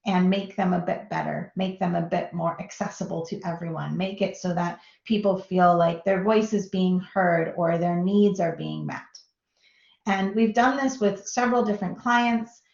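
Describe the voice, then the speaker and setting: Minnesota woman lecturer, upbeat and chatty, gravelly edges, over a video call with an echoey, lo-fi sound. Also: on the low side. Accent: Minnesota American. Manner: chatty, upbeat, laughs often. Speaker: guest lecturer. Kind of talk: online lecture.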